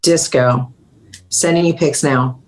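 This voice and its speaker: A woman's voice: extremely low pitch, slow pace, low-quality recording. A woman playing a sardonic, easily flustered undercover agent at a screenplay table read. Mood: tense.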